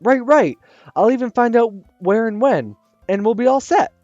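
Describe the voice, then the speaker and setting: A high, sing-song teenage schoolgirl, loud and pushy, chattering on without a pause, as a man puts her on. Her voice leaps all over, and the recording sounds dull and dry. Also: no room sound, faint music behind. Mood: eager. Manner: excited, chattering, shrill.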